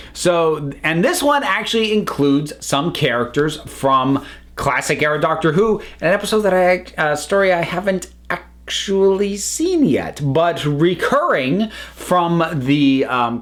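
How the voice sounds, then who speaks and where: A man's voice: a little high, a bit bright. A man giving a casual, unscripted review in a chatty, enthusiastic way, often wandering into side remarks. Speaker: online reviewer.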